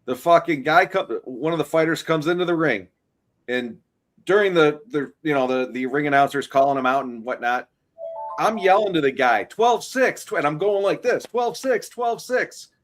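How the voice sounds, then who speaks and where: A man's voice: fairly high pitch, normal speed. A man co-hosting a fight stream, telling a long story and swearing as he stumbles and restarts his words. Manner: rambling, profane, stumbling over words. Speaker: co-host. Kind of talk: casual chat and storytelling.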